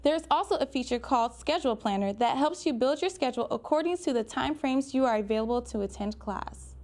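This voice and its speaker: A woman's voice: sits high, lively intonation, fairly quick, a little mellow. A woman presenting scripted information for college students in an instructional, direct way.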